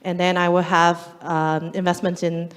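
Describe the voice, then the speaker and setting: A woman's voice: fairly low pitch, level tone, moderate pace. Chinese-accented woman in a reverberant hall. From China. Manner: plain and direct, with slightly broken grammar. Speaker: panelist, fund manager. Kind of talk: panel self-introduction.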